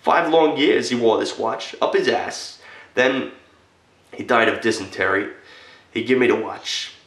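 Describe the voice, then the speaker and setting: Low, gravelly solemn war veteran acted by a man. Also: little pitch movement, unhurried, fairly bright tone, far from the mic. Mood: earnest. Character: solemn military veteran, earnest storyteller addressing a little boy. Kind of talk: dramatic monologue reenactment of a film scene. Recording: echoey room.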